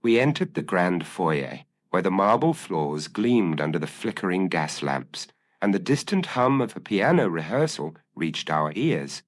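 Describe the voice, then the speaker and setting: A man narrating a first-person detective audiobook in a measured, formal, literary manner. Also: sits low, sing-song.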